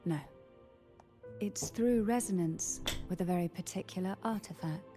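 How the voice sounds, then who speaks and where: A woman playing a composed, secretive noblewoman in a video game, speaking in a formal, measured way. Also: lowish voice, natural voice. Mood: solemn, explanatory. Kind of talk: scripted video game dialogue. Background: music.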